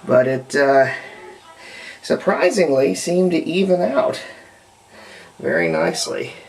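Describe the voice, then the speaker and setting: A man, middle-aged, giving an unhurried, rambling, step-by-step commentary on hands-on restoration work, recorded indoors at home. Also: fairly high pitch, huge pitch swings, gravelly, low-quality recording. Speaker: hobbyist radio restorer presenting own repair videos. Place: indoors at home, partly in a bathroom.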